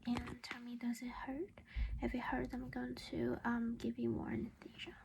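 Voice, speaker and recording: A woman whispering in a gentle, reassuring, halting way, in an ASMR roleplay as a caring vampire mother. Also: fairly high pitch, little pitch movement, very noisy. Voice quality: breathy whisper. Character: caring vampire mother doing a dental checkup.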